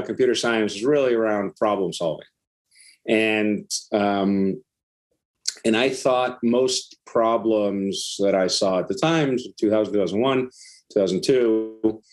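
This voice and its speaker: A man's voice: fairly low pitch, darkish tone. A middle-aged man from Iceland talking casually as a startup founder and interview guest.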